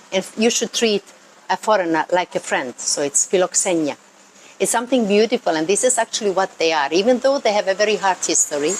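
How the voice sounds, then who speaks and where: Lower-voiced Croatian woman over background noise. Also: rough recording. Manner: earnest, emphatic, repeats words for stress. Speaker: local tourism worker. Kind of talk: unscripted explanatory talk to camera. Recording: noticeable ambient background noise.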